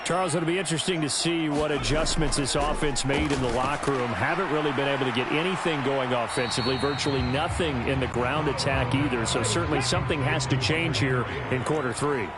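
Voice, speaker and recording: A man's voice: mid-range voice, fairly quick, close to an everyday voice. A man voicing a polished American play-by-play football announcer in a sports video game. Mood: steady, informative. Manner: crisp announcer delivery, addressing a co-commentator. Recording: very noisy stadium ambience behind. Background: stadium ambience.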